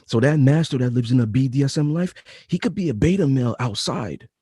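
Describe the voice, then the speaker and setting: Deep-voiced man, dark mellow tone. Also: brisk, husky, dry recording, clean studio sound. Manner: emphatic, repeats phrases, slangy. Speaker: author and guest. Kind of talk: casual interview talk. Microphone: home mic setup.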